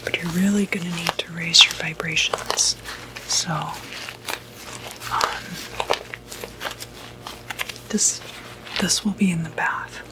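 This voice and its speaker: A woman whispering softly and breathily in an unhurried, hesitant ASMR roleplay as a doctor. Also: fairly low pitch, steady tone, poor audio. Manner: soft-spoken, unhurried, hesitant pauses. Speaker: ASMR performer role-playing a doctor.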